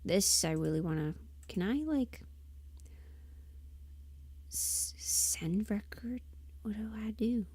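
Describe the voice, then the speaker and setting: A woman's voice: mid-range voice, takes it slowly, long pauses, dark tone, husky. A woman livestreamer thinking aloud casually as she works at her computer, absent-minded and often trailing off. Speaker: livestreamer and audio performer. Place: indoors at a computer, close dry sound. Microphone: desk microphone, sometimes spoken off-axis.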